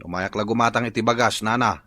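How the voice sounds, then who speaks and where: A man's voice: on the low side, steady tone, fast, pushed and bright, no room sound, clean studio sound. A man playing a flustered, embarrassed husband who protests and pleads in a comedy radio drama. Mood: embarrassed, grumbling.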